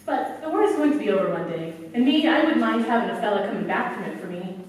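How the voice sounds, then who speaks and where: A woman's voice: mid-range voice, expressive, quick pace, a little mellow, a little hiss or hum, lightly acted. Woman playing a chatty girl, echoey. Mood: chatty, teasing. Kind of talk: staged 1940s-style radio play acting. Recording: very echoey, distant mic.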